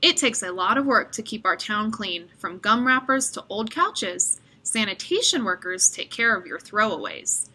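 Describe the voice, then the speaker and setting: A woman reading a children's picture book aloud as an expressive storyteller. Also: medium pitch, normal speed, hardly any pauses.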